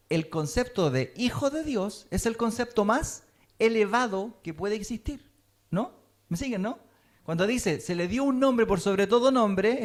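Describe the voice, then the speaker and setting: A man teaching a sermon, often checking in with his listeners. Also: high-pitched. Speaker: teacher of a Messianic congregation.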